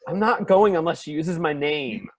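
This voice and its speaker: A man's voice: a little high, normal speed, lightly acted. A man voicing Greg, a petty husband griping sarcastically about his mother-in-law.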